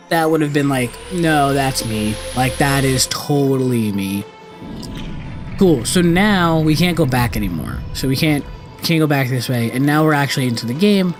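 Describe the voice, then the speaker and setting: A man giving casual, rambling, sweary let's play commentary on a video game. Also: medium pitch.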